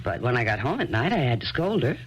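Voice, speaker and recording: A woman's voice: rumbling low, very animated, fast. An actress in a 1970s radio drama playing a weary, practical single mother who answers in an offhand, guarded way. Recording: dull lo-fi archival radio broadcast, faint hiss.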